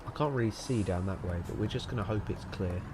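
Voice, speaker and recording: A man's voice: on the low side, fast. A young man casually live-streaming a driving game, rambling, joking at his own expense and swearing as he chats to viewers. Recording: loud game engine and traffic noise behind.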